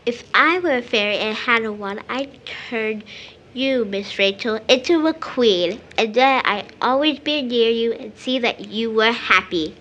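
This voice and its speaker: Slow-speaking, wistful little girl. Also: a little high, fairly bright tone, lo-fi sound. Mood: wistful, earnest. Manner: earnest, childlike. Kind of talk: stage play audio drama acting.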